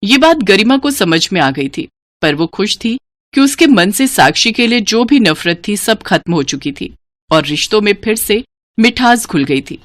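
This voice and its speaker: A woman's voice: mid-range voice, very animated, normal speed, no echo, studio quality. A woman reading a scripted story as its narrator.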